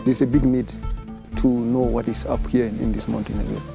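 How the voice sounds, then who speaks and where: A South African man in his thirties, an ant researcher giving a halting documentary interview outdoors in a forest on a lapel mic. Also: medium pitch, little pitch movement, creaky, music in the background. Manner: halting, with fillers.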